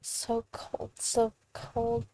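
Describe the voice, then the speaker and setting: A woman doing casual let's play commentary as a gaming streamer, halting at times and reading game text aloud. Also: on the high side, completely flat delivery, mellow, low-quality recording.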